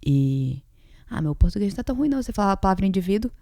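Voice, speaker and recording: A Brazilian woman of about thirty chatting casually as a podcast co-host, informal and quick to joke about herself. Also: mid-range voice, very animated, warm tone, no echo, clean studio sound. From Ceará, Brazil.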